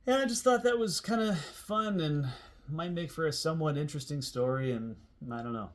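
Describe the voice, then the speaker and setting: A man's voice: fairly high pitch, fairly quick. An American man in his forties tells a personal story in a casual, conversational way. His delivery is informal and rambling, as if talking to viewers he knows. He puts extra emphasis on key words as he goes. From Seattle area, United States. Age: 40s. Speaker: host telling a personal story.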